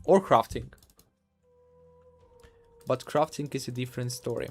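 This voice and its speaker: A man from Ukraine, casually commentating a live game stream, halting and repeating words as he talks. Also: medium pitch, fairly even intonation, a little mellow, faint music behind.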